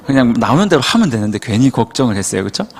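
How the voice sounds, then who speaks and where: A man from Seoul, a youth pastor, preaching a sermon in a relaxed, conversational way and often checking that listeners agree. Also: medium pitch, rapid-fire, slight background noise. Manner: conversational, asks the room for agreement. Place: church hall. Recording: long hall reverb.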